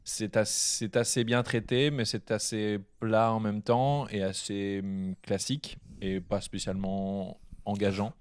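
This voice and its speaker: A man co-hosting a film podcast, giving a measured review with frequent hesitations. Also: on the low side, level tone, smooth, no echo. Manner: hesitant, many fillers and restarts, measured. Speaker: podcast co-host. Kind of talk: casual film review chat.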